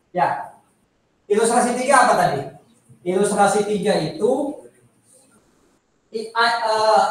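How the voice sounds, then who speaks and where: High-voiced Indonesian man lecturing, echoey room. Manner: conversational, repeats points and poses questions to listeners. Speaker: accounting lecturer. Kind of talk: spoken lecture, informal classroom explanation. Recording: echoey room, distant microphone.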